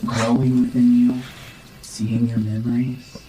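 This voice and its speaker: A man voicing a sinister old woman, a virus haunting a mind, speaking slowly and menacingly in a tabletop game. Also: mid-range voice, level tone, mellow, echoey room, far from the mic, slight background noise, lightly acted, rough recording. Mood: cold, eerie. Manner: slow, menacing, deliberate. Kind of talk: tabletop roleplay villain.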